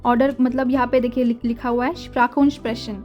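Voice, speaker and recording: A young woman, patiently explaining German textbook exercises. She repeats words slowly and mixes Hindi and English. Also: fairly high pitch, flat delivery, a little mellow, lo-fi sound. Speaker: German teacher. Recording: faint music behind.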